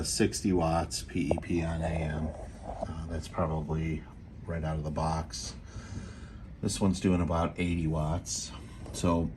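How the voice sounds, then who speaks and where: Low-voiced man giving a casual demo. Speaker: auction seller demonstrating radio gear. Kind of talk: casual unscripted product demo. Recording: clear background noise, home video.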